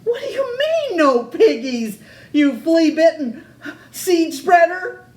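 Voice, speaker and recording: A shrill, grouchy storybook witch, as a woman reader puts her on, screeching her demands with a voice that leaps up and down. She sounds high and sharp, in a roomy, echoey space. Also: very animated, takes it slowly, exaggerated voice. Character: grouchy hungry old witch, shrill and bossy.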